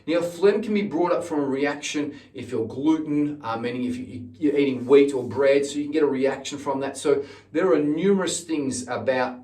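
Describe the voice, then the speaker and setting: A man's voice: a little high, moderate pace, dark tone. A middle-aged man hosting an informal instructional video as a singing teacher, explaining things with emphasis on key words and frequent repetition. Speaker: singing teacher and channel host. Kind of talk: informal instructional talk to camera. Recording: roomy echo, distant microphone.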